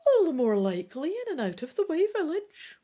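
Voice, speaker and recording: A stern female elf, centuries old, tutor and bodyguard in a fantasy storybook, acted out by a woman reader. Her voice sits high and moves around a lot, with an admonishing, teasing edge. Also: huge pitch swings, brisk, dark tone. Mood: reassuring. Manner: whispering sharply at times, admonishing. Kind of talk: dramatic gamebook reading.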